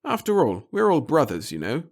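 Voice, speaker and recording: A man's voice: a little high, wildly swooping pitch, brisk, pitched above the performer's own voice, full character voice. A man voicing a small, nervous, fussy bureaucrat in an audiobook, speaking in formal, wordy, obsequious sentences. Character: little wizened fussy nervous male bureaucrat with hidden cunning. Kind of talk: audiobook character acting.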